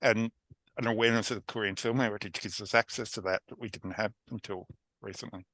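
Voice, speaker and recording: A man on an online panel who cuts in eagerly to add his point, speaking as a film scholar. His voice is lowish yet bright and forceful, and the call audio is dry and close. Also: steady tone, no echo. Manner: eager, jumps in with quick points. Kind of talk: casual panel discussion over video call. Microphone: video call microphone.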